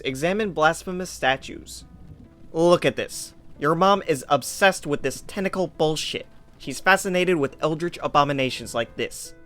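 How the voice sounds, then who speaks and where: Male narrator, slightly high voice, scripted second-person storytelling, faint music behind. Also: a bit bright. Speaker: narrator of a fan dub.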